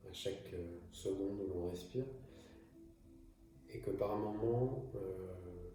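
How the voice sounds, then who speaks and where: A man's voice: sits low, takes it slowly, warm tone, distant mic, slight background noise. A man from France speaking alone to camera in an improvised, hesitant monologue, with frequent fillers and restarts. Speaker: video creator. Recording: echoey room, voice from afar.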